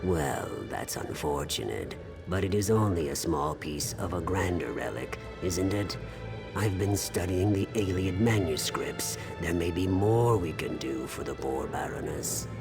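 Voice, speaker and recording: A man playing a scheming, scholarly courtier in a video game, insinuating and deliberate, coolly fascinated by dark relics. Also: sits low, expressive, gravelly, heavily acted. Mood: sly, coaxing. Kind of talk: dramatic game dialogue. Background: prominent music.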